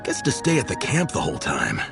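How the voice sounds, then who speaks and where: A man's voice: lowish voice, wildly swooping pitch, fairly quick, vocal fry, heavily acted. A man playing a worn-out, reluctant young trainee in an anime game, hesitant and grumbling. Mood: envious grumbling. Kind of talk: anime game character acting. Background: game music.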